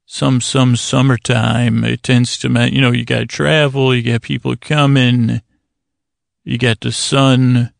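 A man with a gravelly, creaky voice hosts a bedtime podcast, recorded close and very dry. He wanders through his monologue in a stop-and-start way, stretching out words to soothe listeners toward sleep. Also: mid-range voice, little pitch movement, no echo. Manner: meandering, stop-and-start, drawn-out soothing delivery. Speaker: podcast host.

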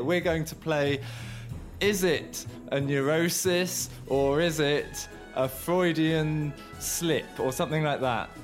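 A man co-hosting a podcast in casual chat, speaking with a cold and sore throat, teasing dryly and chuckling often. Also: fairly high pitch. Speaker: podcast co-host.

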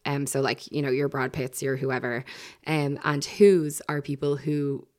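Low-voiced Irish woman hosting a podcast. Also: flat delivery, measured pace. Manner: chatty, lots of fillers and restarts. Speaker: podcast host. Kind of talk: casual chat.

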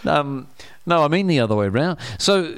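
This is a middle-aged man in relaxed conversation, poking fun at himself and breaking into laughter. His pitch leaps high and drops low as he talks. The sound is dry and close to the mic. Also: moderate pace, smooth, dry recording. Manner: self-deprecating, laughs often, many restarts. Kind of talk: casual conversational storytelling.

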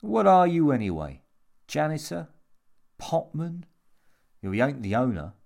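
A man's voice: fairly high pitch, big pitch swings, a little slow, vocal fry, a little mellow, voice pushed up, full character voice. A man playing a cocky, needling club performer in an audiobook, informal and pushy in manner. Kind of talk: audiobook character voice.

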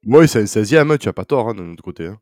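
A man's voice: lowish voice, animated, fast talker, a little mellow, dry recording, studio quality. Hesitant man hosting a podcast. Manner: hesitant, many fillers and restarts. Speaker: podcast host. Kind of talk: casual football chat.

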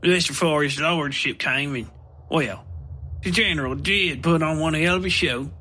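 A man's voice: on the high side, moderate pace, sharp tone. Man playing a folksy, boastful shopkeeper. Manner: drawling, rambling country talk. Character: folksy boastful male shopkeeper telling war tales.

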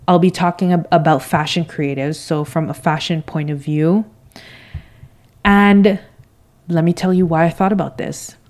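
A Canadian woman in her thirties with a low-pitched voice talks alone as a podcast host. Her delivery is conversational and candid, with casual slang mixed in. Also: dark tone. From Toronto, Canada. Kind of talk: solo podcast monologue, conversational.

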